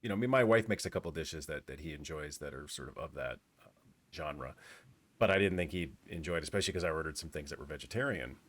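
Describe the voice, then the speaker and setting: Deep-voiced relaxed male host. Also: fast talker. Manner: relaxed, stammering restarts. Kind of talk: casual chat.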